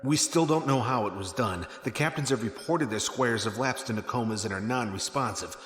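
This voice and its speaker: An actor playing a dutiful military lieutenant in a sci-fi audio drama, making formal, urgent reports. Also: male voice, medium pitch, fairly quick, fairly bright tone, lightly acted. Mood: formal concern. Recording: echoey hall reverb, noisy ambience.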